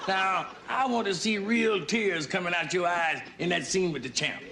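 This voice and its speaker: Bossy old sitcom father as self-appointed stage director, high raspy voice, roomy noisy recording. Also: moderate pace, bright, forceful tone. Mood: bossy, self-satisfied. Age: older. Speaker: amateur play director. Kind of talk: sitcom acting before a studio audience.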